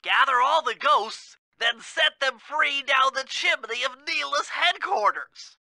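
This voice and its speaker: An actor playing a brainy, earnest turtle gadget expert in a video game, nasal and know-it-all. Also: male voice, very high voice, unhurried, creaky, pushed and bright, dry recording, heavily acted. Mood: confident instructing.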